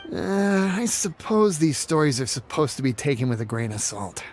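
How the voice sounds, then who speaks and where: A woman's voice: sits low, big pitch swings, normal speed, a little mellow, slight background noise. A woman voicing an impressionable female townsperson in a game, hesitant and easily awed. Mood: doubtful. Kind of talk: video game character acting. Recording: background music under voice.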